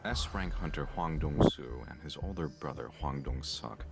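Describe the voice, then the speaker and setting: Very deep, gravelly male agent. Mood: firm, accusing. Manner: formal, measured, polite address. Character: stern, dutiful adult male hunter surveillance agent. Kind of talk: dramatic game cutscene acting. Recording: loud music behind the voice.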